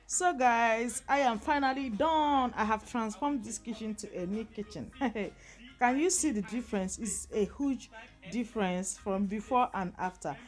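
A woman's voice: on the high side, a little slow, soft background music. A woman casually narrating her kitchen cleaning, rambling and laughing often as she works. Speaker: vlogger.

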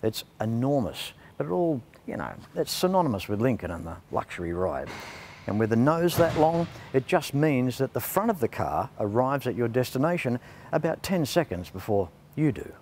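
A man's voice: medium pitch, very animated, moderate pace, a little mellow, slight background noise. An older Australian man hosting a car show in a large showroom, chatty and enthusiastic, on a lapel mic. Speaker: host.

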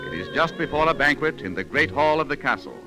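A man's voice: a little high, sing-song, quick pace, low-quality recording. A man giving scripted, dramatic narration in the style of 1930s radio. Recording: 1930s radio recording, music underneath.

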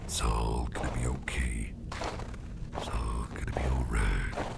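A man playing a caring, slyly warm boss in an ASMR roleplay, talking in a gravelly half-whisper with a rambling, folksy, reassuring manner. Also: very deep voice, steady tone, a little slow, very noisy, exaggerated voice. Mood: tender, reassuring. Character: caring, gruff-voiced boss, a man with sly, soothing warmth. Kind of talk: soft ASMR roleplay, addressing the listener.

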